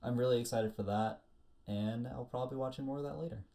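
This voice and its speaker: A man's voice: medium pitch, quick pace, gravelly, dark tone. A man co-hosting a podcast in casual, teasing chat. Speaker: podcast co-host.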